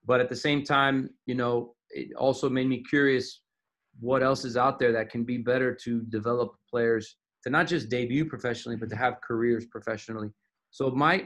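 A man's voice: medium pitch, fairly bright tone. A man from Miami, a soccer coach, answering interview questions thoughtfully with frequent restarts and fillers. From Miami, Florida.

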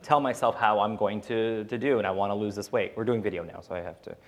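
Low-voiced man talking candidly. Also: fairly even intonation, fast. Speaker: podcast host and panelist. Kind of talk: casual personal storytelling in a panel discussion.

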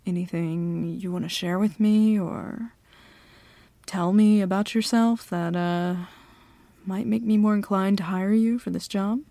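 A woman playing a slow, teasing bossy CEO. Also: mid-range voice, steady tone, creaky, no echo. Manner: slow, confident, teasing, with soft laughs. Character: bossy, teasing female CEO interviewing an applicant. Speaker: ASMR roleplay performer. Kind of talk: flirty ASMR roleplay.

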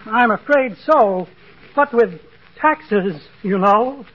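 A man's voice: high-pitched, animated, slow pace, husky, heavily acted. An actor playing a small, meek, polite man with a hesitant manner in a 1940s radio comedy. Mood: mild. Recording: dull vintage 1940s radio recording.

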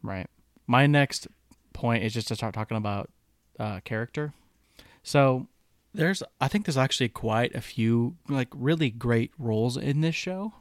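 A man in a relaxed podcast conversation, talking loosely with many fillers and often asking "right?" to check for agreement. His voice sits at a middle pitch. The sound is dry and close. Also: measured pace, dry recording. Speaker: podcast co-host. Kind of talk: casual chat.

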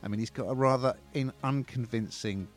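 A man's voice: medium pitch, brisk. A man co-hosting a TV and film review show, chatting with dry, wry humour. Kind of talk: casual review chat.

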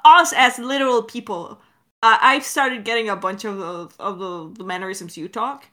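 A woman in casual, unscripted chat with a co-creator, giggly and teasing, with an expressive way of talking. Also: mid-range voice, normal speed. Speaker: audio drama creator answering listener questions.

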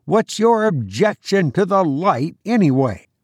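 A man's voice: high-pitched, very animated, unhurried, no room sound, clean studio sound, voice pushed up, exaggerated voice. A man voicing a bold, practical sailor who speaks bluntly and colloquially, calling out in an audiobook. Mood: challenging. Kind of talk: audiobook character acting.

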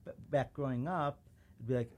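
A man's voice: mid-range voice, normal speed, a little mellow, a little hiss or hum. A man from suburban Chicago, a guest in a casual interview, speaking in a reflective, earnest way and acting out the remarks he quotes. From suburban Chicago, USA. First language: Urdu. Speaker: podcast guest, civil rights lawyer.

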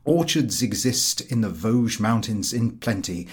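A man's voice: on the low side, animated, unhurried, a little mellow. A man narrating a comic literary travelogue as a scripted audiobook.

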